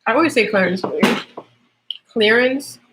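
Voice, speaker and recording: A woman's voice: a little high, a little slow, background noise. A young woman with a Trinidadian accent, chatting casually on a livestream as a nursing student, explaining things with lots of fillers.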